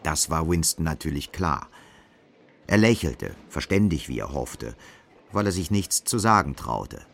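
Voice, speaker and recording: A man's voice: a bass voice, sing-song, a little slow, creaky, a bit bright, full character voice. A man narrating a literary radio drama in scripted prose.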